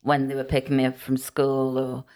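A middle-aged woman with a Welsh-tinged Liverpool accent, a native Welsh speaker, chatting as a podcast guest. She speaks softly with short replies and frequent hesitations. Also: extremely low pitch, monotone, normal speed. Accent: Welsh-influenced Liverpool English. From Liverpool, England. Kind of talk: casual interview chat.

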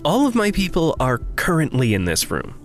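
A man's voice: on the high side, wildly swooping pitch, moderate pace. A man playing an earnest, grateful, idealistic young man in a fiction podcast, speaking hesitantly. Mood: resigned. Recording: music underneath.

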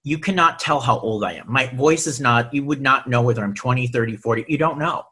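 A man's voice: medium pitch, fairly quick, bright, forceful tone, slightly rough. A middle-aged man, a singer and guest, talking in an earnest, reflective way and repeating himself as he thinks. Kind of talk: casual conversation.

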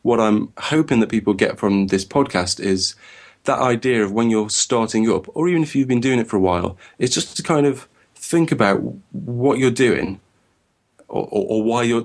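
A man co-hosting a podcast in casual chat, rambling and repeating words as he thinks, his voice a little croaky. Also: on the low side. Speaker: podcast co-host.

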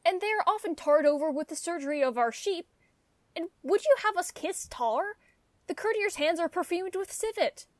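Lady doing a simple honest shepherd, high voice, dry close sound, earnest shakespeare radio acting. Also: animated, moderate pace, no echo. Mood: puzzled, defensive. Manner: earnest, plain-spoken. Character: simple, honest, contented country shepherd, male.

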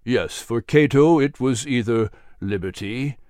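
A man voicing a grave, wise mentor in a storybook drama, speaking solemnly and deliberately. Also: mid-range voice, a little mellow, no room sound, clean studio sound, lightly acted. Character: grave, wise male mentor guiding through history. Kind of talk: storybook character voice.

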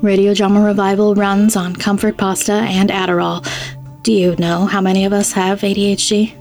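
Podcast host lady reading scripted credits, pretty flat delivery, soft music under it. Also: medium pitch, moderate pace. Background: faint music.

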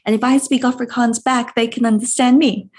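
A woman's voice: on the high side, steady tone, fairly quick, husky. A woman in her thirties from South Africa with a South African accent, talking enthusiastically as a guest in an interview. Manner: warm, enthusiastic storytelling. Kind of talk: conversational interview.

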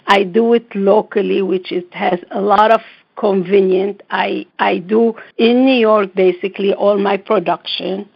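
A woman's voice: mid-range voice, vocal fry, slightly rough. A woman from the Middle East, a fashion designer speaking from home on a call, talking in chatty, non-native English and often laughing. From Middle East, born in Lebanon, raised partly in Israel. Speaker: fashion designer and brand owner, guest. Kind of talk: casual interview chat. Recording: slightly muffled lo-fi audio.

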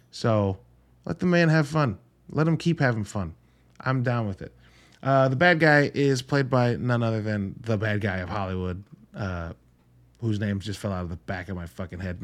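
Casual man reviewing movies, chatty and sweary. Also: mid-range voice. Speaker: podcast host reviewing movies. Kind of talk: casual, conversational solo film review.

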